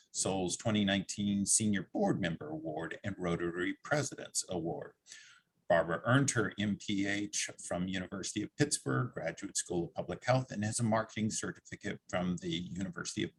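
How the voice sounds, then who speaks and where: A man moderating a session, reading out a guest speaker's introduction in a steady, list-like way. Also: sits low, a little hiss or hum, poor audio.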